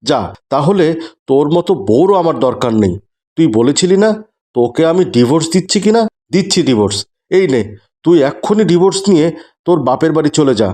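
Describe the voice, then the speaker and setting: Cartoon husband and father played by a man: devout, stern, exasperated, slightly high voice, melodramatic. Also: animated, fairly quick, mellow, lightly acted. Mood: angry. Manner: scolding, exasperated, pleading.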